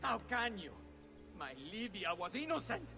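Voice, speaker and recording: High-voiced, anguished Italian widower. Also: male voice, sing-song, normal speed, vocal fry, in a large hall, over music, full character voice. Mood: bitter grief. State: distraught. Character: bitter, grief-stricken Italian widower, anguished and resentful. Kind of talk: video game cutscene acting.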